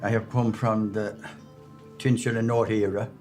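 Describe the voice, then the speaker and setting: A man's voice: fairly low pitch, little pitch movement, normal speed, a little mellow, soft background music. Plain-spoken older man. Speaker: class participant.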